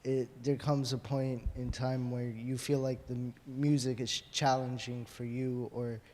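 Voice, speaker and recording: A student musician speaks on a panel in a flat, even voice, hesitant and full of fillers. A long reverb from the hall follows his words. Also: medium pitch, measured pace. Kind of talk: live panel discussion. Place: large hall.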